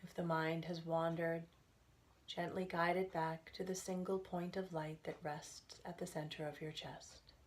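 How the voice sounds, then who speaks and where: Low, even-voiced woman guiding meditation. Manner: soothing, gentle, unhurried phrasing with pauses. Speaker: guided meditation instructor. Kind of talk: guided relaxation meditation narration.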